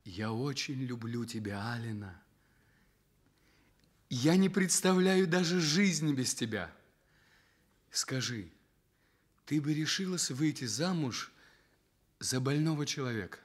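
An actor playing an ardent, romantic young journalist in love, earnest and fervent, in a Soviet radio drama. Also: male voice, medium pitch, animated, slow, long pauses. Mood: tender.

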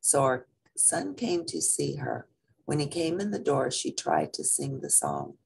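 Lady reading a kids book out loud, really raspy and croaky, dramatic delivery. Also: a bass voice, wildly swooping pitch, low-quality recording. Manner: dramatic, with drawn-out repeated phrases. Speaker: picture-book reader. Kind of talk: expressive children's story read-aloud.

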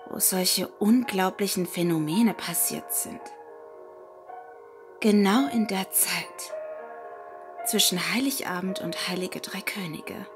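A woman narrating a first-person scary story in a hushed, unhurried, dramatic manner, with music behind her. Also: medium pitch. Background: background music.

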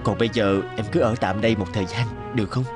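A man voicing a wealthy company director in a cartoon, smooth-talking, patronising and dismissive. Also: on the low side, steady tone, quick pace, close to an everyday voice. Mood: suave. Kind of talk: Vietnamese cartoon dubbing. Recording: guitar music under the voice.

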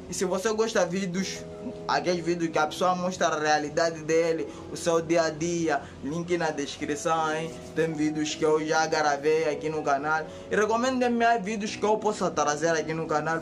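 Young mozambican guy talking to camera, kinda high voice, music playing under it. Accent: Mozambican Portuguese. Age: young adult. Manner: animated, gesturing with both hands. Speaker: YouTuber. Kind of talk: casual talk to camera. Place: indoors by a bare concrete wall.

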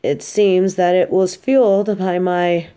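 A woman's voice: on the low side, fairly even intonation, takes it slowly, dark tone, smooth. A woman playing an affectionate, teasing supervillain girlfriend in an intimate roleplay audio drama, speaking tenderly and playfully. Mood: sincere, apologetic.

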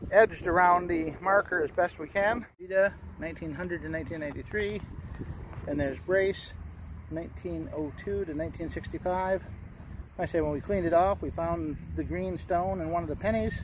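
A man's voice: sits high, background noise. An American man giving informal documentary narration in a folksy, reminiscing way. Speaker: local history video narrator.

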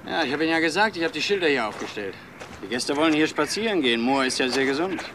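An actor playing a guarded, plain-spoken country innkeeper in a crime radio play. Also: male voice, a little high, lively intonation, fast, fairly bright tone. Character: guarded local male innkeeper who knows the moor. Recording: noisy outdoor ambience with birds.

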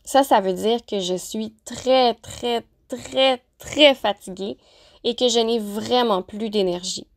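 A woman teacher narrating a lesson, pronouncing example phrases slowly and carefully. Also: a little high. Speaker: French-as-a-second-language teacher. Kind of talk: clear, deliberate vocabulary lesson narration.

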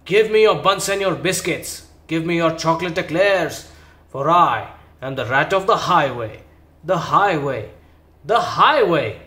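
A man voicing a greedy, bullying male rat highwayman in a children's storybook reading, loud and gloating. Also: lively intonation, slow pace, reverberant, full character voice. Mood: bellowing.

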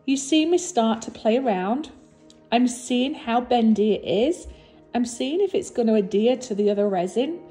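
Slightly husky woman, mellow chatty voice-over. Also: fairly high pitch, lively intonation, moderate pace, soft background music. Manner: chatty, warm and encouraging, with fillers and restarts. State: low energy after recent surgery. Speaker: resin artist and channel host. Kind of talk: casual voice-over narration of a craft video.

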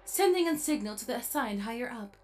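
A woman's voice: fairly high pitch, lively intonation, a little fast, a little mellow, natural voice. Woman as formal automated system, music behind. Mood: impersonal. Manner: formal, procedural. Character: formal automated female phone system of a robot company. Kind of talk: scripted announcement in comic dub.

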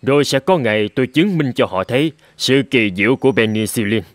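A man voicing an earnest, hopeful middle-aged professor of medicine in a dramatic cartoon dub, speaking in a measured, solemn way. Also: mid-range voice, animated, no echo, close to an everyday voice. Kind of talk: dramatic animated-film dubbing.

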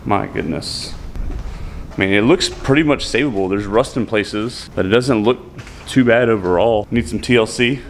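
A man's voice: on the low side, moderate pace. Man vlogging cars outdoors over street noise. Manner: enthusiastic car-enthusiast talk. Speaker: car vlogger. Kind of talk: casual walkaround vlog commentary to viewers. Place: outdoors by roadside car lots and shops. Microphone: handheld camera mic.

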